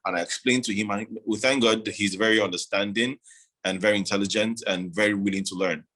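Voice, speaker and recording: A man being interviewed, talking in a measured, reflective way with many "you know"s. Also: fairly low pitch, fairly even intonation, fairly bright tone, poor audio. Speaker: guest. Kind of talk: casual interview.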